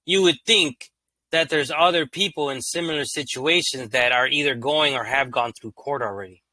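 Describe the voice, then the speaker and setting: Brief: male host, bright and sharp tone, reads legal text flat then drops into loose slang asides, monotone by his own account. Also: medium pitch, normal speed, dry recording, poor audio. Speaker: channel host reading and commenting on court cases. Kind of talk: casual read-aloud commentary.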